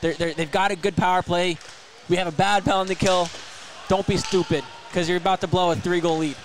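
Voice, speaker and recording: A man's voice: high-pitched, fairly even intonation, brisk, slight background noise. A man working as a hockey color commentator, talking conversationally and emphatically, often repeating and stumbling over words. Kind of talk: live hockey analysis.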